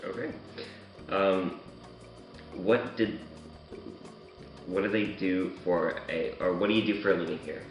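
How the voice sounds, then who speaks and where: A man working through interview questions in a hesitant, halting way. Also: deep voice. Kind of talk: informal scripted interview questions. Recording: very echoey room, music behind.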